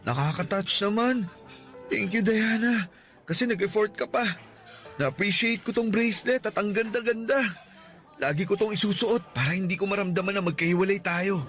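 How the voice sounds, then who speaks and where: A man voices a devoted young boyfriend in a radio love story, soft-spoken and tender. The voice sits high for a man. Faint music plays underneath. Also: moderate pace. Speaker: voice actor. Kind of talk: radio drama acting.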